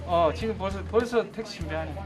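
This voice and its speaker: A man's voice: high-pitched, brisk, over music. A man speaking informally as a senior air traffic controller explaining the job.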